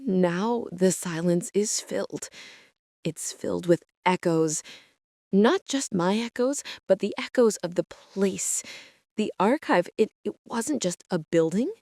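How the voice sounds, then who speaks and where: A woman performs a traumatized archivist who broods over her buried past in a horror audio drama. She speaks very slowly and deliberately, at a middling pitch for a woman. The sound is dry and close, with a meticulous, haunted feel to every phrase. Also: lively intonation, a little mellow, no room sound. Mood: uneasy, brooding. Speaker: narrator and lead character of an audio drama. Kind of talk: dramatic first-person horror narration.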